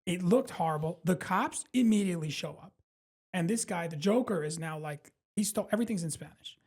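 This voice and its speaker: Husky New York man telling a story. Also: high-pitched, fairly quick. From Brooklyn, New York. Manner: animated, profane, rambling, full of fillers and self-mockery. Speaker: stand-up comedian guest. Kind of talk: casual storytelling in a podcast interview.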